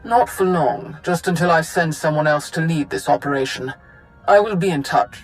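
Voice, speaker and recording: A performer playing an authoritative, high-ranking Sith lord in a video game, speaking formally and giving clipped orders. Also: normal speed, faint music behind, close to an everyday voice, low-quality recording. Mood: commanding. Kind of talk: dramatic video game acting.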